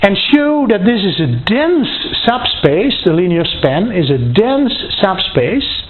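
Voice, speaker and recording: A university maths lecturer thinking aloud through a proof, halting and deliberate in delivery. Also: medium pitch, sing-song. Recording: dull lo-fi sound, faint noise.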